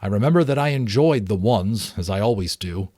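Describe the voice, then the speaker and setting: A man voicing a thoughtful father in a storybook, confiding in a measured manner. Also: fairly low pitch, animated, dark tone, no echo, studio quality. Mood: reminiscing.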